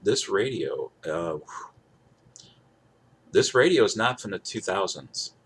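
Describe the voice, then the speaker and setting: A man's voice: lowish voice, sing-song, slow pace. A man giving a casual product demonstration to camera, rambling and repeating himself for emphasis. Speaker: gadget reviewer. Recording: clear background noise.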